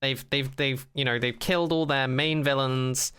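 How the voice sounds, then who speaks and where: A man's voice: mid-range voice, steady tone, normal speed, bright, forceful tone, smooth. A man co-hosting a podcast in casual unscripted chat, skeptical and opinionated, often repeating and rephrasing as he goes. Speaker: podcast co-host.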